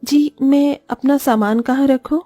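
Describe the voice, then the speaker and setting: A woman's voice: sits high, steady tone, a little slow, mellow, dry recording, soft background music, pitched above the performer's own voice. A woman voicing a meek, wronged young bride in a cartoon story, pleading and plaintive. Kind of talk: Hindi cartoon story voice acting.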